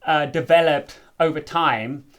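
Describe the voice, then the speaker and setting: A man's voice: on the high side, measured pace, fairly bright tone. A South African man whose first language is Afrikaans, co-hosting a casual chat and playfully explaining a folk song's history with many fillers. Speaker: co-host, musician.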